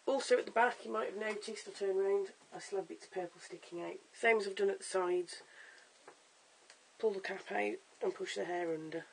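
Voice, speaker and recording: A hoarse, croaky voice that crackles into gravelly fry, talking through a home wig tutorial. The delivery is chatty and stop-start, full of ums and small restarts, picked up by a camera's built-in mic with a faint hiss behind it. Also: medium pitch, brisk. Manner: chatty, stop-start with fillers and self-corrections. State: hoarse, lost voice. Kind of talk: casual how-to demonstration.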